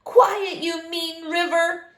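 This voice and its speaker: A woman's voice: very high voice, sing-song, measured pace, pitched above the performer's own voice, exaggerated voice. A woman voicing an earnest, eager little boy in a children's storybook, pleading and exclaiming. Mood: angry. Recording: roomy, echoing room sound.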